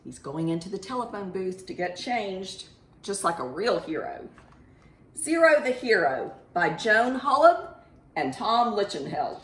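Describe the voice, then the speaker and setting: A woman's voice: mid-range voice, big pitch swings, measured pace, a bit bright. A woman teaching young children in an encouraging way, with simple phrasing and questions, as in a math lesson or storytime. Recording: echoey room.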